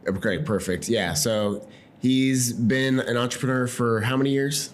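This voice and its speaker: A man hosting a podcast, welcoming a guest and asking questions in a halting, casual way with many restarts. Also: medium pitch. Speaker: podcast host.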